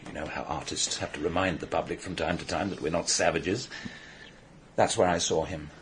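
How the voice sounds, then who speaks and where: Deep-voiced, earnest English painter, acted by a man. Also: brisk, a little hiss or hum, lightly acted. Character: earnest, secretive English painter, sensitive and devoted to art. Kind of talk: radio drama acting.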